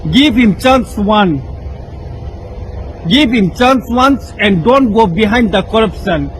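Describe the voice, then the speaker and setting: A Nepali-accented man with a high voice speaks slowly and earnestly in a short video appeal. He repeats simple short phrases in a halting way. Faint music plays behind him. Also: animated, fairly bright tone, low-quality recording. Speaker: person in a played video clip.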